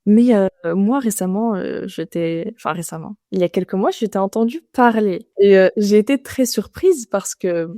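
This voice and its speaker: Mellow woman podcast interviewer. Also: mid-range voice, normal speed, hardly any pauses, no echo, studio quality. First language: Arabic. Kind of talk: casual conversational interviewing.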